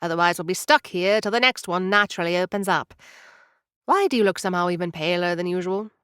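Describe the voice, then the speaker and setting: A woman's voice: fairly low pitch, fast, a bit bright, clean tone, no echo, studio quality, natural voice. A woman playing a curt tiny ship captain. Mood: brusque. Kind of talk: audio drama acting.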